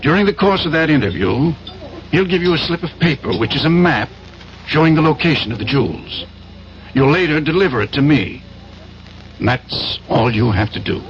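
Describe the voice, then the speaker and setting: An actor playing a persuasive, commanding schemer, an importer and war veteran, in a 1970s radio drama. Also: male voice, on the high side, animated, slight background noise. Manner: persuasive, self-assured, deliberate phrasing. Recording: dull old radio recording, faint music.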